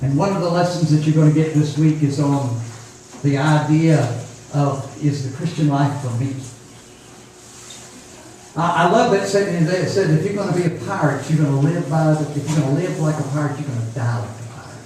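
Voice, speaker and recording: A man's voice: fairly high pitch, a little fast, a little mellow. Older guy preaching at youth camp, folksy, repeats himself, far off mic, super echoey. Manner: folksy, rhetorical repetition. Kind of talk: informal sermon. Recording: echoey room, distant mic, faint noise.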